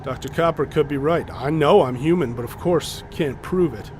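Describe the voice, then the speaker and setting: A man's voice: a little high, moderate pace, darkish tone, slight background noise, lightly acted. Level-headed scientist in command played by a man. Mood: measured. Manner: reasoned, wry, decisive. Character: level-headed male scientist taking command, wry and practical. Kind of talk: radio drama acting.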